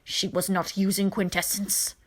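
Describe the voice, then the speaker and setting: A woman's voice: mid-range voice, little pitch movement, normal speed, close to an everyday voice. A woman voicing a furious, menacing alien witch in a fan fiction reading, commanding and threatening in snarls and hisses. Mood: cold, suspicious. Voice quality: hissing, snarling.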